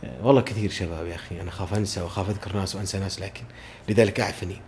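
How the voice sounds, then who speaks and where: Fast-talking deep-voiced man. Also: fairly even intonation, a little mellow, slight background noise. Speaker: voice-over artist, podcast guest. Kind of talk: casual conversation.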